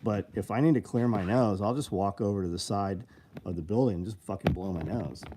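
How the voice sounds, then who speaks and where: A man's voice: lowish voice, brisk, warm tone, slight background noise. A man in his fifties hosting a casual live show, answering viewer comments in a blunt, rambling and profane way. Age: 50s.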